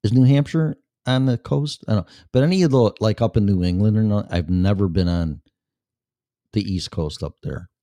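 A man's voice: on the low side, normal speed, dark tone, no echo, clean studio sound. A man with a Chicago accent hosting a casual chat show, rambling and blunt, with plenty of swearing. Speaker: show host. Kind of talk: casual livestream chat with viewers.

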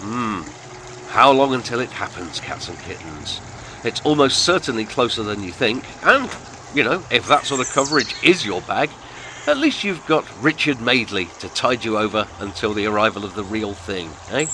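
A man's voice: on the low side, big pitch swings, normal speed, bright, forceful tone, slight background noise. A man hosting a podcast, delivering a scripted satirical monologue in florid, pompous, sardonic phrasing. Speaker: podcast host.

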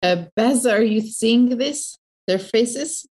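Female role-playing gamer, higher register, slow delivery, casual table talk. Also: darkish tone. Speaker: player in a tabletop game. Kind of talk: casual game-table chat.

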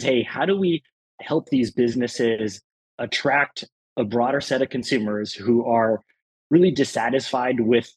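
A man's voice: mid-range voice, darkish tone, breathy edge, low-quality recording. A man from El Salvador, a guest on an interview podcast, talking earnestly and with enthusiasm, often leaning on the word "really.". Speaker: private equity partner, guest.

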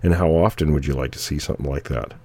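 A man co-hosting a casual podcast chat, losing his voice and clearing his throat, with a rambling way of talking. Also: rumbling low, fast, warm tone, slight background noise. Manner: clears throat often, meandering. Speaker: podcast co-host.

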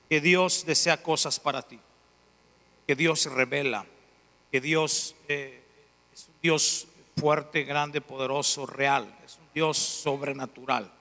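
A man's voice: fairly high pitch, bright, forceful tone. Earnest Mexican man in a church hall. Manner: earnest, repeats key phrases. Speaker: church administrator. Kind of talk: church testimony talk.

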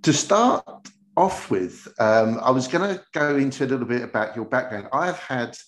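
A man's voice: mid-range voice, lively intonation, normal speed, fairly bright tone. A man hosting a podcast interview in a casual, jokey and rambling conversational way. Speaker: podcast host. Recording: roomy, echoey sound.

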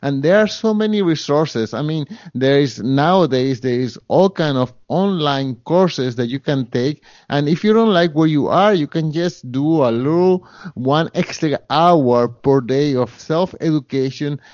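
Slow, hesitant Spanish-accented man. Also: fairly high pitch, lively intonation, darkish tone, no echo, dull audio. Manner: earnest, hesitant with many fillers and restarts. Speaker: guest. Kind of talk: interview conversation.

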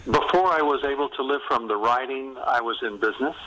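An older American man from North Carolina telling stories as an interviewed novelist, in an animated, conversational way with emphatic repetition. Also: mid-range voice, brisk, a little hiss or hum, rough recording. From North Carolina, United States. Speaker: novelist being interviewed as guest.

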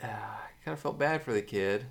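A young man co-hosting a casual podcast, telling stories in a halting, chatty way. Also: lowish voice, gravelly. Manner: chatty storyteller, halting with fillers. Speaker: podcast co-host. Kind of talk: casual sports chat.

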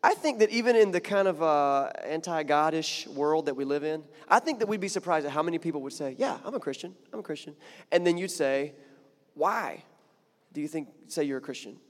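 A man's voice: on the high side, expressive, fairly quick, long reverb tail. A man preaching a sermon in a chatty, conversational way, building to emphatic points and often repeating words. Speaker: pastor.

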